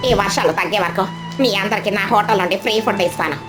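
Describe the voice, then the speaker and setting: A woman's voice: mid-range voice, fast talker, voice pushed down, natural voice. Woman voicing a dramatic, lamenting mother crow. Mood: resolute. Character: frantic, remorseful mother crow, once greedy. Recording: music behind the voice. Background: clear background music.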